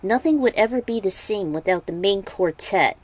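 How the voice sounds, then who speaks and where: A woman's voice: medium pitch, animated, moderate pace. A woman narrating a fan fiction story as an amateur reader, sometimes stumbling over the text and correcting herself. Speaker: podfic narrator.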